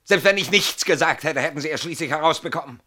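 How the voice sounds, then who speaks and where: An actor in a radio play playing a haunted, weary older man who is defensive and brooding, swinging between murmurs and sharp outbursts, with a rough voice. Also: a little high, fast. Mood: bitter, scornful.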